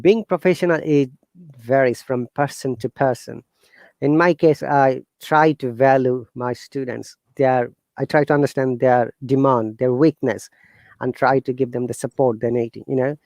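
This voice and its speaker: Bangladeshi-accented man, earnest and halting. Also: mid-range voice, dry recording. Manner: earnest, animated, halting with fillers and restarts. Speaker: guest teacher. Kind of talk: casual interview talk.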